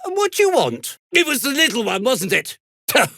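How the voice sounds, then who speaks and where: A man's voice: extremely high pitch, wildly swooping pitch, moderate pace, vocal fry, sharp tone, no room sound, studio quality, full character voice. A British man playing a fussy, self-important and slippery toy maker in a video game, speaking in a haughty, theatrical, wordy way. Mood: suspicious, exasperated. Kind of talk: dramatic video game dialogue.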